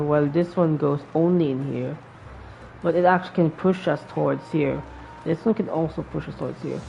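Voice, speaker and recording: Low, creaky woman thinking aloud while gaming. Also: a little hiss or hum. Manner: repeats words and phrases. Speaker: gaming streamer. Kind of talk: casual gameplay commentary, thinking aloud. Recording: dull lo-fi mic over game music. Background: faint game music.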